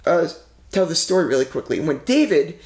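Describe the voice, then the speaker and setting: High-pitched gravelly man telling a crime story. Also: sing-song, fairly quick, darkish tone, reverberant. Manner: rambling, many fillers, swears freely. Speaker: podcast co-host telling a true-crime case. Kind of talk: casual true-crime podcast chat.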